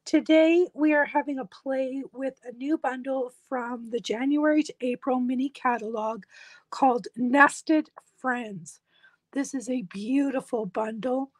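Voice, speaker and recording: A hoarse woman with a croaky voice, walking through a craft tutorial step by step in a reassuring, casual way. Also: high-pitched, measured pace, dry recording, low-quality recording. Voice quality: croaky, husky. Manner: reassuring, instructional. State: hoarse, losing her voice. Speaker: craft tutorial host, demonstrator.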